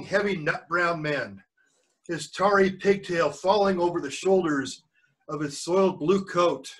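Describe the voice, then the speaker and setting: Older man with a bright, high-pitched voice. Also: rough recording. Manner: checks in often with listeners, explains words. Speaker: grandfather reading a book to children. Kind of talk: read-aloud storytelling with chatty asides to children. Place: video call with screen sharing.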